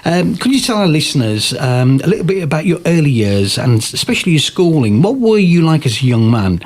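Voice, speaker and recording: A man hosting a business radio interview, reading a formal, flattering introduction and then asking questions with some halting ums and uhs. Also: on the high side.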